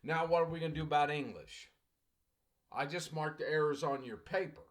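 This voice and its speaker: A man's voice: on the high side, a little fast. A man teaching a college English class in a conversational, repetitive lecture, often addressing the class directly.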